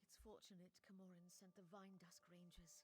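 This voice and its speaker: A woman's voice: lowish voice, flat delivery, normal speed, a little mellow, lightly acted. An actress playing a grave, weary soldier woman, a lifelong scout in a fantasy video game. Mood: grave, dismayed. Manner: grave, solemn.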